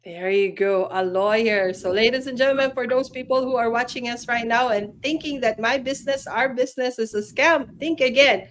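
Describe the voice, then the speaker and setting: A woman's voice: a little high, steady tone, moderate pace, few pauses, slight background noise. A woman hosting a livestream interview, upbeat and persuasive, with frequent fillers and restarts. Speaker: host.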